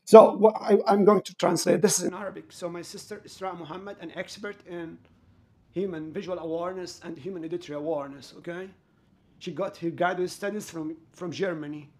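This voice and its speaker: A man's voice: sits high, fairly even intonation, fairly quick. Aggrieved man narrating a complaint. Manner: aggrieved, repetitive, emphatic. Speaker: student telling his own complaint. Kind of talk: personal commentary over recordings.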